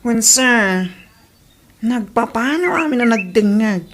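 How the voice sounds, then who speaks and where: Actress as candidate's loyal female aide, Ilocano radio comedy, very high voice, earnest, faint noise. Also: lively intonation, slow pace.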